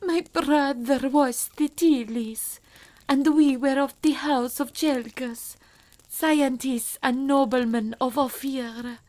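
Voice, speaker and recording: A man's voice: extremely high pitch, steady tone, slow, voice pushed up, low-quality recording. A man doing a frightened young noblewoman. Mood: pleading. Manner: breathless pleading, hushed.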